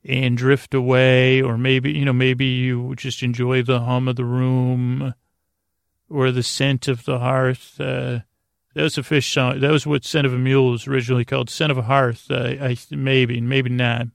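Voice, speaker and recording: A man hosting a bedtime sleep podcast, with a creaky voice and a gentle, silly, meandering manner full of hesitations, tangents and pauses. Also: mid-range voice, little pitch movement, dry recording, clean studio sound. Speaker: podcast host.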